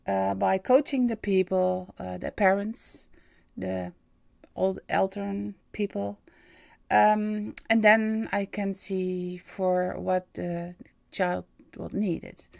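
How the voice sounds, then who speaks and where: A woman in her fifties with a Dutch accent, hosting a livestream in halting English and pausing often to search for words. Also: medium pitch, vocal fry, no echo. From Netherlands. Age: 50s. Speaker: livestream host and medium. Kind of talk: hesitant livestream monologue.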